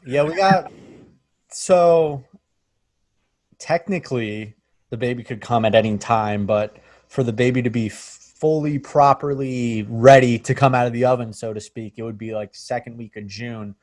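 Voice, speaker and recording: A man's voice: medium pitch, a little slow, darkish tone, no echo. A man chatting casually on a video call, easygoing and rambling. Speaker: band member hosting a video chat. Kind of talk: casual chat.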